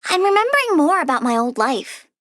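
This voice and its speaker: A young girl supervillain who dotes on plants and toys with people. Her voice is very high and animated, recorded dry and close. She is petulant and cutesy, with gleeful menace, in polished video game style. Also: fairly quick, no room sound, full character voice. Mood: playfully bratty.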